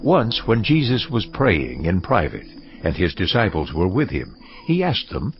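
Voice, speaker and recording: An actor playing a solemn narrator, reading scripture aloud in a dramatized style. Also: male voice, low voice, huge pitch swings, measured pace, heavily acted. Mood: solemn storytelling. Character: solemn male chapter narrator. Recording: dull sound with music behind. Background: music.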